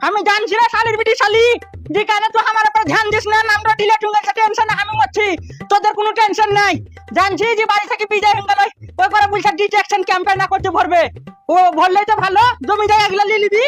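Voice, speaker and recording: A man's voice: very high voice, level tone, fast talker, a bit bright, faint music behind. A man playing a cranky, flustered very old village man in a comic skit, with a rural Birbhum Bengali accent and a grumbling, complaining manner. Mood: angry scolding. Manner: grumbling, cursing, breathless complaining.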